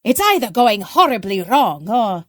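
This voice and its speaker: A woman's voice: a little high, very animated, a little slow, dry recording. A woman acting a dry, wry, harried workshop member in a comic audio drama.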